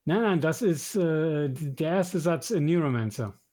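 A man's voice: fairly high pitch, lively intonation, unhurried, darkish tone. A man co-hosting a podcast, chatting casually and explaining things with enthusiasm. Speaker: podcast co-host. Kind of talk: casual chat about audio dramas and films.

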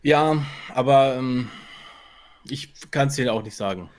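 A man's voice: mid-range voice, slow, darkish tone. A man co-hosting a podcast, talking in a casual, conversational way. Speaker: podcast host. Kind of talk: casual chat about audio dramas.

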